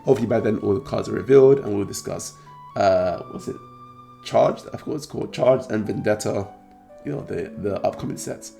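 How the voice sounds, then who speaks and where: Croaky low-voiced British man talking to camera. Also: fairly quick, mellow, faint music behind. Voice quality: gravelly. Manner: rambling, repeats himself, clears throat often. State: croaky, hoarse voice. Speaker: trading card channel host. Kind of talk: casual talk to camera.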